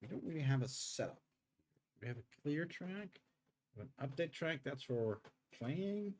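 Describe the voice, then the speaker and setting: A middle-aged man with a tired throat, streaming live game development and talking through his code in halting, unhurried steps. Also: a little high, animated, noisy background. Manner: halting, thinks aloud with many pauses and um's. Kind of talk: live coding stream, thinking aloud.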